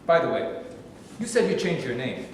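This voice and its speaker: A man's voice: medium pitch, sing-song, moderate pace, natural voice. A man playing a blunt, needling small-town police detective in a staged reading of a play. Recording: very echoey hall, distant mic, clear noise.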